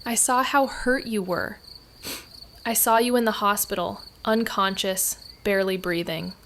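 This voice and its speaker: A woman playing a guilt-ridden young woman in an audio drama, speaking in an emotional, halting, confessional way. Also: mid-range voice, a bit bright, slight background noise. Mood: tearful, confessional. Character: Mags, guilt-ridden, anguished young woman, former best friend. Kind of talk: naturalistic audio drama acting.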